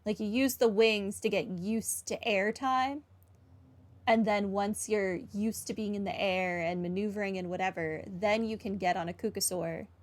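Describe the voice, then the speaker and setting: A woman giving casual playthrough commentary, explaining her opinions conversationally as she reacts. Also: mid-range voice. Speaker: game streamer. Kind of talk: casual playthrough commentary and reading dialogue choices.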